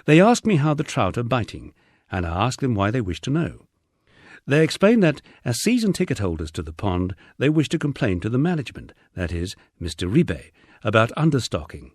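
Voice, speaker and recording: A man's voice: mid-range voice, sing-song, fairly quick, no echo, clean studio sound. A man reading a memoir as a scripted audiobook narration.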